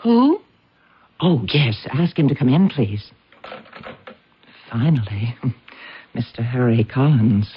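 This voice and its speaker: An actress playing a worldly, composed countess in a radio drama, with a wry, teasing manner. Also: female voice, rumbling low, lively intonation, takes it slowly, pauses often, exaggerated voice. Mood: teasing, guarded. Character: poised, worldly countess and decorator, teasing and warm. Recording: dull, noisy old radio recording.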